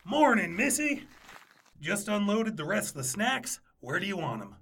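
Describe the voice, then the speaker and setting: A woman playing a defensive, penny-pinching parent, raspy and argumentative, in a comic audio drama. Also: medium pitch, normal speed, noisy background. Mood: cheerful, defensive. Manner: excitable, argumentative. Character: defensive, penny-pinching snack-bringing parent at a ball field. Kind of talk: audio drama comedy acting.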